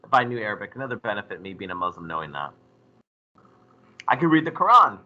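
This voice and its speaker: A man teaching a history class, lecturing in a lively way and putting questions to his students. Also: on the low side, fast talker, dull audio. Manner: animated, asks the class questions.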